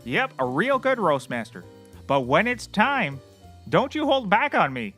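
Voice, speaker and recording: A bright, high, swooping male voice for a deluded oddball private eye, acted by a man. He is excitable, boastful and blissfully oblivious, in the manner of audio comedy, with some music behind. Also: a little slow, full character voice. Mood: blithe. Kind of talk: scripted comedy audio acting.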